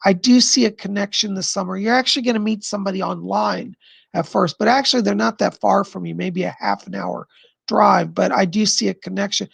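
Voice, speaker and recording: A man hosting a live stream of psychic readings, answering viewer questions in an effusive, chatty way and pausing to listen to spirits. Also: sits high, fairly even intonation, gravelly, fairly bright tone, husky, dry recording. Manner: pauses to listen to spirits mid-sentence.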